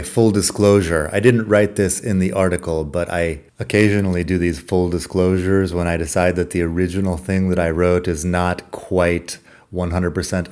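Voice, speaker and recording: A man's voice: sits low, darkish tone. A middle-aged American man hosting a podcast, reading his own scripted personal essay in a wry, self-deprecating, reflective way. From United States. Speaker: podcast host.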